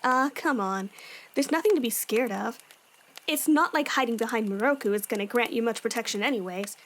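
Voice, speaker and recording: A woman's voice: on the high side, fairly quick, a bit bright, a little hiss or hum, lightly acted. A woman playing a firm, stern young woman with a no-nonsense manner in an anime-style audio drama. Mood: calmer, matter-of-fact.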